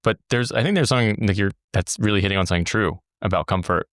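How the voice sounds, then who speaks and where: A man's voice: lowish voice, fast talker, fairly bright tone, no echo, studio quality. A man co-hosting a podcast, reflecting thoughtfully with hesitant, searching phrasing. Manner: thoughtful, hesitant, many fillers and restarts. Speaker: podcast co-host. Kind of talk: casual podcast conversation.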